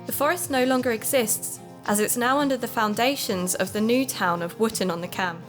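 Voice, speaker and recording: Actress as Gemma, podcast investigator over music. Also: female voice, fairly high pitch, fairly quick, close to an everyday voice. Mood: narrating. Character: Gemma, teasing, supportive woman podcast host and investigator. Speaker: podcast host. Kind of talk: audio drama acted as a narrated podcast.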